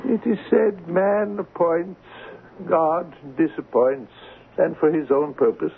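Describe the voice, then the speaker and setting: A resigned, genteel gentleman in a seventies radio play. His voice is fairly high and creaky, drawing out formal phrases over a thin broadcast. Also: slow. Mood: resigned, wistful. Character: mournful, genteel, philosophical male art appraiser. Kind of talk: 1970s radio drama acting. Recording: tinny, noisy old radio broadcast.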